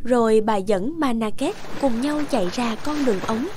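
A woman's voice: on the high side, moderate pace, background noise. A woman storyteller giving scripted narration of a fairy tale.

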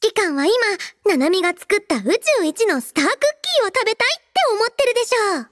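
A woman voicing a cheerful, devoted robot girl in an anime game, childlike and playful, with a cutesy, expressive manner. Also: extremely high pitch, fast talker, no room sound, clean studio sound. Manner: cutesy, refers to herself in third person, expressive. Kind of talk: Japanese anime game character voice lines.

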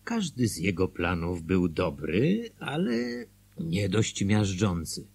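An old-town ex-mayor telling a radio play story, really low voice, swings up and down. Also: male voice, sing-song, slow pace, a little mellow, breathy edge, exaggerated voice. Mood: wry, expressive. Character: former small-town mayor recounting the town's history.

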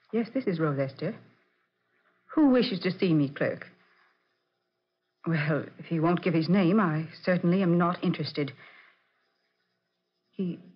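A woman's voice: on the low side, breathy edge. An actress playing a wary, haughty woman with an imperious manner, in 1940s radio drama style. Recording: dull 1940s radio recording.

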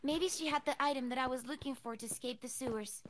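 A woman playing an adventurer who narrates a dark quest in scripted first person for a game. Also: high voice, quick pace, lightly acted. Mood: earnest storytelling. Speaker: story narrator. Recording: clear background noise.

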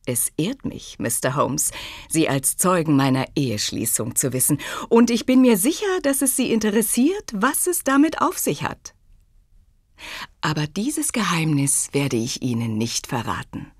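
A woman's voice: low voice, big pitch swings, unhurried, slightly rough, dry recording, studio quality, heavily acted. An actress playing a clever, self-possessed young woman in a German audio drama, poised, formal and faintly teasing. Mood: playful, proud.

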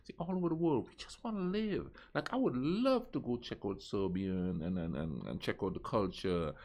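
A man's voice: lowish voice, lively intonation, normal speed, a little mellow. A middle-aged man reacting casually to a video, rambling and repeating words as he thinks, at his desk indoors. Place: indoor room with gaming chair.

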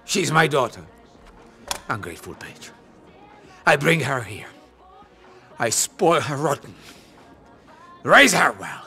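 Video game Russian mobster: middle-aged, menacing, self-pitying, Russian accent, big pitch swings, hard forceful delivery. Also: male voice, sing-song, slow, long pauses, faint music behind, heavily acted. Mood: bitter, angry. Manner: brooding mutters swinging into explosive shouting.

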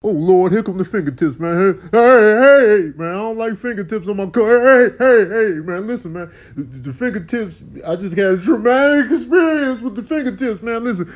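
A man's voice: sits high, expressive, moderate pace, few pauses. A man voicing a jumpy, protesting cat in a comic animal voiceover, complaining in pleading, repetitive phrases. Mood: anxious, protesting. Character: nervous, protesting cat, jumpy about fingertips.

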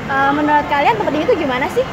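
A woman's voice: high voice, expressive, rapid-fire, dull audio. A young woman with an Indonesian accent asking polite, hesitant questions as a student reporter in a mall food court, recorded on a phone. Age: young adult. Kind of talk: street interviews. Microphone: phone held up to speakers. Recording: loud crowd chatter and noise.

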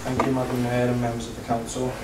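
A man's voice: medium pitch, monotone, fast, loud music behind the voice. Echoey, far-off man councillor making formal speech. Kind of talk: formal prepared debate speech. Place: large council chamber. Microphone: recorded from the back of the room. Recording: distant, echoey, noisy council chamber recording.

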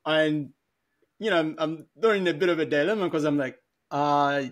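A man's voice: fairly high pitch, normal speed. Rambling, laughing man on a headset call. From Africa. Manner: rambling, self-correcting, laughs often at himself. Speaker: podcast co-host. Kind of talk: casual conversational storytelling. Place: small room at home. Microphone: over-ear headset on a video call.

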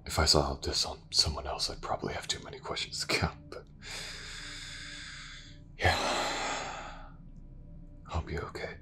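A man acts out a gentle detective in an intimate audio drama. His voice is extremely deep and soft, halting as he reassures, often slipping toward a whisper, with faint noise in the recording. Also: little pitch movement. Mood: hesitant, tender. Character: gentle, reassuring male detective with an injured eye. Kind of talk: intimate audio roleplay acting.

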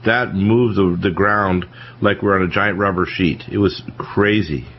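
A man's voice: deep voice, a little slow. A man hosting a talk radio panel, lecturing emphatically and warning of disasters. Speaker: radio talk show host. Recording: dull lo-fi radio broadcast with faint hiss.

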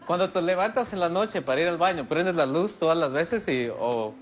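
Guest, 30s male, relaxed and witty, lapel mic in a studio. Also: on the high side, moderate pace. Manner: easygoing, jokes back, describes objects step by step. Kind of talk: casual TV interview. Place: large TV studio set. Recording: faint studio noise.

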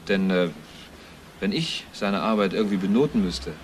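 A man acts out an earnest police investigator in a crime radio drama. His voice sits fairly low as he explains carefully, pausing to find words. There is faint noise in the recording. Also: close to an everyday voice. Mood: reporting. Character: earnest male police investigator, thorough and matter-of-fact. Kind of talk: German crime radio play acting.

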